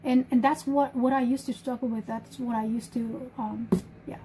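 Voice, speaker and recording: Woman, German accent, high pitch, casual live-stream host, emphatic, restarts phrases. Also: steady tone, normal speed, warm tone, a little hiss or hum, low-quality recording. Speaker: livestream host.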